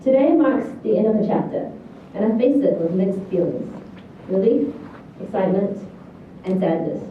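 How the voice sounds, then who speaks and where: A woman's voice: mid-range voice, lively intonation, normal speed. A woman in her thirties with a New Zealand accent, giving a prepared graduation speech as a student valedictorian. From New Zealand, born in China. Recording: echoey hall, distant, thin lo-fi, faint noise.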